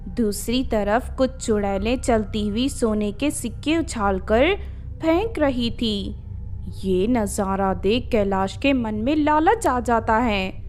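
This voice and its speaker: A woman's voice: on the high side, slight background noise. A woman narrating a scripted children's horror story as a storyteller.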